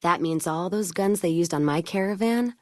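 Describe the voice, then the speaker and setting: Actress as tough wasteland caravan woman: low voice, blunt, wry, hard-drinking game character, close dry recording. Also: normal speed, no room sound, lightly acted. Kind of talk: video game character dialogue.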